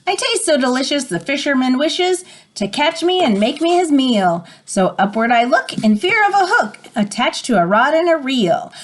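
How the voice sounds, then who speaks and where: Sly flatfish, played by a woman reader, storybook rhyme. Boastful and wary, singsong, lightly high pitch with big swoops. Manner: singsong rhyming storybook delivery. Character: sly flounder fish, wary yet boastful hunter.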